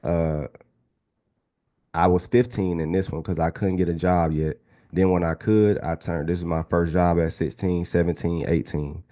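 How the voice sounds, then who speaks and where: A man's voice: low-pitched, steady tone, moderate pace, dark tone, muffled recording. A man in his twenties from Atlanta, casually teaching a live online trading class and talking to the chat. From Atlanta, Georgia. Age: 20s. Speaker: trading instructor.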